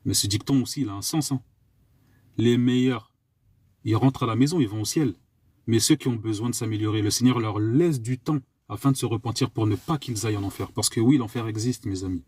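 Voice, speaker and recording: A man's voice: fairly low pitch, brisk, a little mellow, breathy edge, no room sound. A man from France preaching to his listeners, repeating pointed questions and coughing at times. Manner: exhorting, repeats rhetorical questions. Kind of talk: live online sermon.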